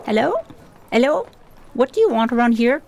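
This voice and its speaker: A woman's voice: a little high, lively intonation, moderate pace, gravelly, darkish tone. A woman playing an irritable, suspicious married village woman in a radio drama, brusque and indignant in a colloquial way. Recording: faint background noise.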